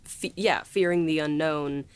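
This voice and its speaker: Low-voiced woman in casual, halting chat. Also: clean tone. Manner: halting, informal, peppered with "like". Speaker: writer and co-host of a behind-the-scenes podcast.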